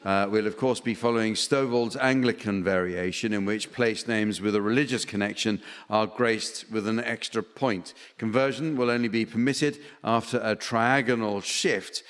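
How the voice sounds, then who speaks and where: A man's voice: lowish voice, fairly even intonation, moderate pace, hardly any pauses. A man chairing a comedy panel show, mixing mock-solemn formal announcements with ad-libbed banter. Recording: echoey theatre stage, noisy audience.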